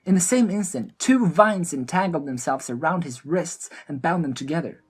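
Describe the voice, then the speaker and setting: A woman's voice: low-pitched, animated, normal speed, breathy edge. A woman narrating a scripted fantasy audio drama as its storyteller.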